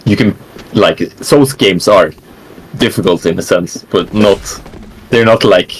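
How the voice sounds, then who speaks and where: Low-voiced relaxed gamer guest. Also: male voice, measured pace, slightly rough, low-quality recording. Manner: relaxed, rambling, lots of fillers and likes. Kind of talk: casual online interview.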